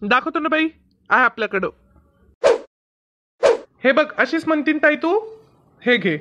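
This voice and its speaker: A man's voice: very high-pitched, lively intonation, measured pace, very noisy. A man voicing a persistent, wheedling young bag seller in a comedy cartoon, persuasive and protesting, on a lo-fi recording. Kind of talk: Marathi comedy cartoon dub.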